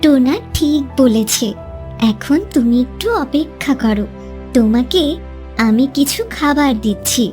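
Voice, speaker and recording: The kindly little hen bird in a children's cartoon, piping very high and earnest from her nest over soft music, acted by a woman. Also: measured pace. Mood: sympathetic. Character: small kind, caring female bird, dutiful wife. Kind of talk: scripted cartoon dialogue.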